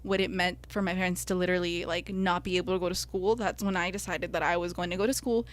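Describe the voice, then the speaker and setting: A woman's voice: on the low side, fairly even intonation, fast. A young woman from the United States whose first language is Spanish, co-hosting a podcast and sharing her own experiences in an earnest, heartfelt way. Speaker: podcast co-host. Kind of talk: personal reflective podcast talk.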